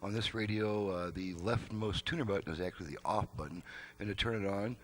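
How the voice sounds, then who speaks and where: A man's voice: lowish voice, fairly quick, a little hiss or hum. A man giving a casual, folksy, step-by-step demonstration as a radio repair technician, explaining things off the cuff. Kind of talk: casual unscripted product demonstration. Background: radio audio playing behind the voice.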